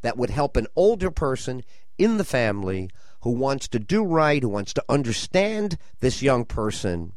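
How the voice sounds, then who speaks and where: A man's voice: medium pitch, very animated, moderate pace, a little mellow, dry recording, clean studio sound. A man hosting a conversational radio talk show, with an effusive manner and dramatic phrasing.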